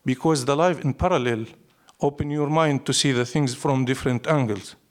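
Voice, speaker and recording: A man's voice: mid-range voice, normal speed. A man from Syria with a Syrian Arabic accent, a native Arabic speaker, answering as a poet in a live interview with emphatic, declarative short phrases. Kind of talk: live interview, reflective storytelling.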